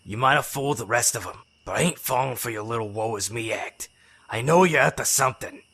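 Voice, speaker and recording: A man voicing a suspicious, hot-headed male mutant turtle in a comic dub, with gruff, confrontational street talk. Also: medium pitch, animated, brisk, bright, forceful tone. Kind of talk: animated comic dub dialogue.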